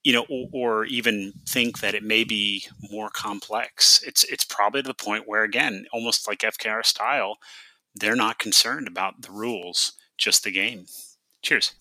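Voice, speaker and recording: A man calls in to a podcast with a casual, thoughtful message, circling back over his phrases. His voice is very bright and forward, on the low side, in a dry recording. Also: steady tone, moderate pace, no room sound, low-quality recording. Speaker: podcast call-in listener.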